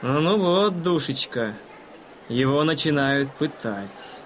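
A condescending, blasé gentleman in a radio play, talking down to his companion. His voice is rather high and mannered, with slight ambient noise behind it. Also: expressive, slow. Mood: smug, callous. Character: smug, condescending gentleman spectator courting a young lady. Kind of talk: audio drama acting.

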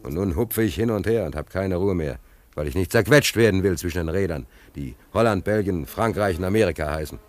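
A man's voice: low-pitched, brisk, faint music behind, close to an everyday voice. A man playing a naive, agitated stranded sailor in a German radio play, telling his woes in an emphatic, colloquial, repetitive way. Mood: agitated lament. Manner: excitable storyteller, emphatic, colloquial, repeats himself. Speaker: actor.

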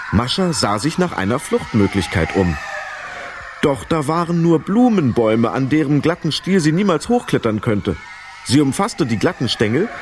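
A man narrating a children's radio play in an expressive, dramatic storytelling style. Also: mid-range voice, background noise.

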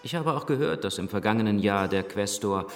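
An actor playing a liberal priest and headmaster in a German radio drama, measured, articulate and paternal, gently reproving. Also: male voice, fairly low pitch, animated, faint music behind.

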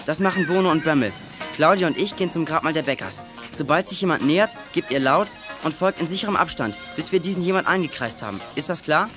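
Man as brisk teenage boy detective. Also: on the high side, animated, music in the background, close to an everyday voice. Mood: commanding. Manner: commanding, brisk. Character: decisive teenage boy detective leading his gang. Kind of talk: German children's audio drama acting.

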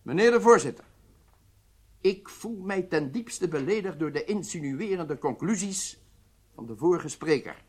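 A man's voice: sits high, a bit bright, exaggerated voice. An actor playing an indignant man at an official hearing, pompous and formal in a radio drama. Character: indignant, pompous witness protesting at a rowdy hearing.

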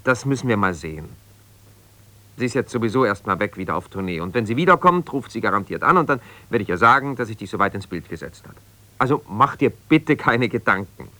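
Forceful, strained, placating husband. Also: male voice, fairly low pitch, lively intonation, no room sound. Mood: exasperated, reassuring. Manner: halting, repeats himself, reassuring yet exasperated. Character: ambitious lawyer husband, evasive, placating, strained, newly separated. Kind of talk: naturalistic 1970s German radio drama, one-sided phone calls.

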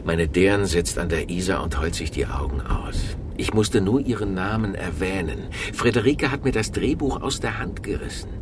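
A brash film producer in a radio play, with a low voice that swings widely in pitch. He is domineering and cajoling, pushing his points forcefully. Loud background ambience sits behind him. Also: lively intonation, moderate pace, hardly any pauses, background noise. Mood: agitated. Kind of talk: German radio drama acting.

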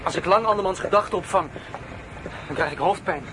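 A tender young horseman in a radio drama, played by a man, speaks softly and intimately in a fairly high male voice. Clear background noise surrounds him. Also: a little fast, natural voice. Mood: earnest. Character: young male horseman, tender, courting, mind-reading traveller. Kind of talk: Dutch radio drama acting.

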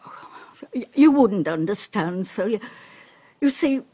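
An actress playing an uneasy, superstitious household servant in a radio drama, with a hesitant, deferential manner. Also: female voice, mid-range voice, expressive, measured pace, dark tone, breathy edge. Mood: uneasy, ominous.

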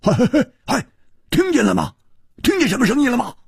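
A man voicing a brash, excitable young male swordsman in an audiobook, stammering and teasing. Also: low-pitched, a little slow, husky, dry recording, clean studio sound, exaggerated voice. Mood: excited. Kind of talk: audiobook storytelling.

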